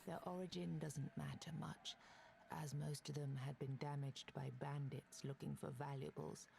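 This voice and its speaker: A woman playing a solemn video game lore narrator, with a hushed, gravelly voice and a measured, ominous delivery. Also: low voice, slight background noise, heavily acted. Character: solemn female narrator of an ancient, bloodthirsty place.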